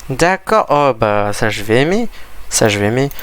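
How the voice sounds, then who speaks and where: A man's voice: medium pitch, sing-song, normal speed, a bit bright. A man with a cold and a stuffy voice, giving rambling, hesitant casual commentary over a game he is playing, often restarting his sentences. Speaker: gaming video creator.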